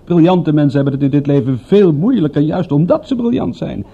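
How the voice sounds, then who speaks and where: An actor playing a witty, easygoing private detective, talking in a teasing, conversational way in a radio play. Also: male voice, a little high, animated, a little fast, vocal fry, breathy edge, muffled recording, exaggerated voice. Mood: amused, teasing. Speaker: detective in dialogue. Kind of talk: radio play dialogue, naturalistic.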